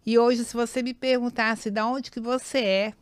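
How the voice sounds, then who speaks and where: Brazilian woman late 50s, podcast guest, smooth clear voice, dry sound, telling her story casually. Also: on the high side, fairly even intonation, moderate pace, no echo. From São Paulo and Goiânia, Brazil. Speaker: podcast guest, nurse and acupuncturist. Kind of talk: conversational personal storytelling.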